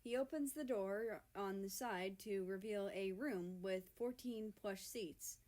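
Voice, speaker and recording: A woman's voice: mid-range voice, slow pace, a little mellow. A woman reading a story aloud as an amateur narrator. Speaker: amateur fan-fiction reader.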